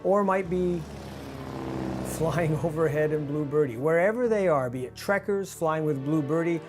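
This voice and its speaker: A man in his fifties with a North American accent presents a scripted behind-the-scenes explainer in a measured, clear manner. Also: a little high, music in the background. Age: 50s. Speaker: radio drama director and presenter. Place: small indoor studio office with monitors. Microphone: lavalier on shirt.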